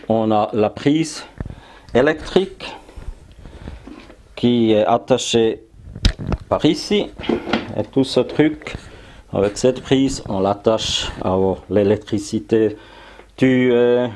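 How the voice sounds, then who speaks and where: A man shows off his workshop gear, speaking slowly and pausing often to hunt for words. His voice sits low and mellow. He talks in a casual, plain way. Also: slight background noise. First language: German. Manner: hesitant, searching for French words. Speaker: YouTube hobby channel host.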